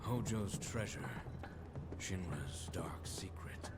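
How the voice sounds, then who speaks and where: A man's voice: low voice, animated, takes it slowly, very noisy. An actor playing a cool, stoic young male mercenary in a video game, speaking in terse, understated phrases. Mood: guarded, muttering. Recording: loud game music over dialogue.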